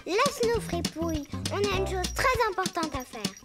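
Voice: extremely high pitch, very animated, moderate pace, heavily acted. A performer voicing an eager, cheerful little boy bear cub in a sing-song childlike way for a preschool cartoon, with music behind. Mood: excited.

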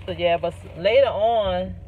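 A woman, chatting casually to a phone camera while sitting in her car. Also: on the low side. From South Carolina, US. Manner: rambling, chatty, slow drawl with sing-song asides. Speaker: vlogger. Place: parked car, indoors of vehicle. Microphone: phone camera mounted on dashboard. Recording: tinny phone audio with loud road noise.

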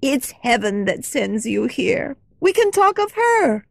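A woman voicing a simple, fond old woman who speaks calmly and cheerfully in a high, lilting way. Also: takes it slowly, creaky, no echo, full character voice. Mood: fond, confiding.

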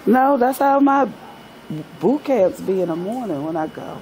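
A woman's voice: fairly high pitch, expressive, unhurried, darkish tone, slight background noise, rough recording. A middle-aged woman bantering casually and teasingly as a client on a treatment table. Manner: joking, teasing. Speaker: chiropractic client. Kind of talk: casual banter during a session. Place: large indoor treatment studio, lying on table.

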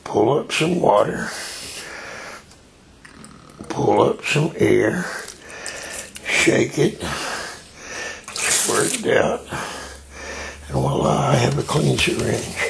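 An older man with a raspy voice, demonstrating a craft step by step while he works. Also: mid-range voice, animated, noisy background. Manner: unhurried, explaining while he works. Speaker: tie-dye hobbyist demonstrating. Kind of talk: slow hands-on craft demonstration.

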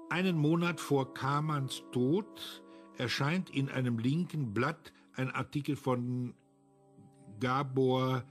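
An actor playing a self-assured, analytical investigator in a German radio crime drama, speaking in a formal, clipped manner. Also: male voice, on the low side.

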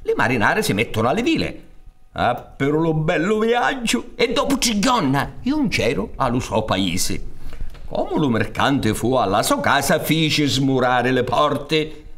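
A man's voice: fairly high pitch, sing-song, unhurried, a bit bright. A man in his sixties with a Sicilian accent, telling a folk tale dramatically on a theatre stage. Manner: dramatic, gesturing, with rhetorical questions. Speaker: storyteller and director. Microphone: studio mic on a stand.

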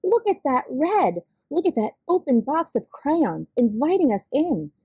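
A woman's voice: sits high, very animated, a little slow, mellow, no room sound, pitched above the performer's own voice, full character voice. A woman voicing a gushing, intense museum guide who loves art, dramatic and lingering on words. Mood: awed, dramatic.

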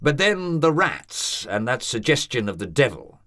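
A man reading aloud and voicing a solemn older country doctor who speaks in a formal, measured way. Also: mid-range voice, big pitch swings, pushed and bright, voice pushed up. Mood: earnest. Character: grave, deliberate older male country doctor.

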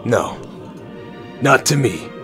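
A defiant, sardonic captive warrior acted by a man in an audio drama. His mid-pitched voice is challenging and stubborn, with clear music playing behind it. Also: lively intonation, slow pace, a bit bright. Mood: disbelieving. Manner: challenging, sarcastic. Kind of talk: fan audio drama acting.

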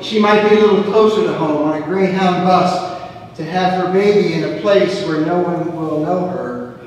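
Older pastor preaching in an echoey sanctuary. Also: male voice, a little high, slight background noise. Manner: storytelling, gesturing, dropping to a hush on key phrases. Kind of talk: sermon. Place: church sanctuary, at the pulpit. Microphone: far camera, room mic. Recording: echoey church hall from far off.

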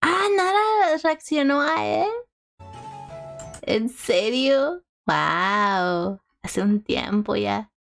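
A woman's voice: a little high, expressive, drawn-out words, gravelly, a bit bright, soft background music. A woman reacting casually and exclamatorily as a video host, dropping in English slang. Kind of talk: casual live reaction commentary.

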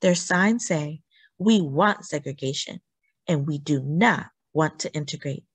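A woman reading a children's book aloud in an expressive storytelling style, with deliberate phrasing and emphasis. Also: deep voice, slightly rough, dry recording.

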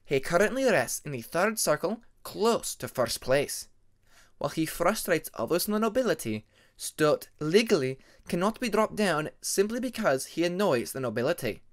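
A man voicing a reserved, proud young female dragon student who speaks formally and in measured sentences. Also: on the high side, very animated, pitched above the performer's own voice. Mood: explaining, proud. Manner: formal, measured, uncontracted speech.

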